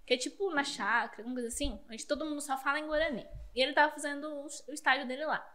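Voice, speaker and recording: A woman with a high voice tells a long childhood story in a relaxed podcast chat. She laughs often as she talks. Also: very fast. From Paraguay border region. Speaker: guest.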